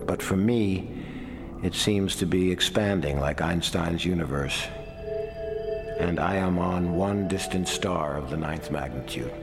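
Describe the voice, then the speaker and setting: A man's voice: sits low, a little slow, over music. A man playing a weary, sardonic middle-aged writer in a radio drama, voicing his letters with wry, theatrical eloquence. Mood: despairing.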